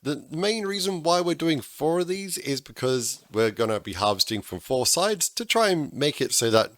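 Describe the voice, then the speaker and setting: A man, slightly hoarse because he is losing his voice, talking through a game-building tutorial in a chatty way with frequent restarts. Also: on the high side, sing-song, normal speed, fairly bright tone, no echo. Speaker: gaming tutorial host. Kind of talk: casual game tutorial voice-over.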